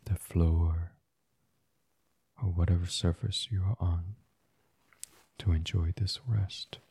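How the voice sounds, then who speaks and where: A man gently guiding a sleep meditation in a soft, soothing, unhurried ASMR style. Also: low-pitched, steady tone, vocal fry, husky. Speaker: guided meditation host.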